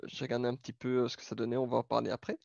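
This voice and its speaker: A man's voice: mid-range voice, little pitch movement, racing, creaky, darkish tone, dry recording. A man with a light Belgian accent speaks in a mid-range voice as a podcast co-host. His delivery is casual and a little hesitant. The sound is dry and somewhat dull. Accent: light Belgian French. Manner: hesitant, informal. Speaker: podcast co-host discussing stocks. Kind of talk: casual chat. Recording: dull, band-limited audio.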